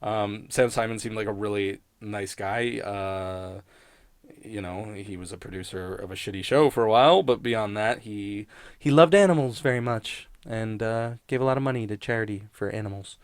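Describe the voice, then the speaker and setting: A man's voice: fairly low pitch, steady tone, normal speed, clean tone, no room sound. A man rambling casually and sardonically in an unscripted review, swearing freely like a podcast critic.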